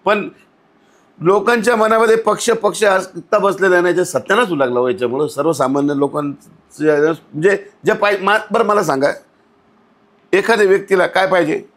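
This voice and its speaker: A man's voice: high voice, normal speed, fairly bright tone. A man talking as a podcast guest in a blunt, indignant, scolding way, with many rhetorical questions. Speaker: outspoken podcast guest commenting on local civic issues. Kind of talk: conversational podcast interview.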